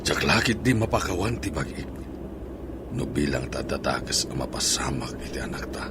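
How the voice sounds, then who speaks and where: A reproachful middle-aged father played by a man in a radio drama. His voice is extremely deep and grave. Loud ambient noise surrounds it. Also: expressive, moderate pace. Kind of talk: Ilocano radio drama acting.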